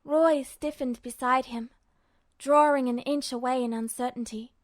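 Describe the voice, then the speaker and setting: A woman's voice: high voice, steady tone, normal speed, dry recording. A woman reading scripted fiction aloud as the narrator of a story. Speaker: podfic narrator.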